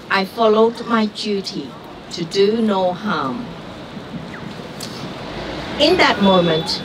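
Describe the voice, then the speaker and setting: Woman giving a slow earnest speech outside, heard from a distance. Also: mid-range voice. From Vietnam. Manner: earnest, deliberate. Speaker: rally speaker, doctor. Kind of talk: prepared public speech. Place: outdoor street gathering. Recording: far-off street recording with traffic noise.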